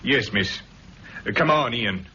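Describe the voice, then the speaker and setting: A surly armed guard, curt and sullen, with a bright, lively voice. The muffled old radio recording carries a faint hiss. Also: male voice, medium pitch, unhurried. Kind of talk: 1960s radio drama acting.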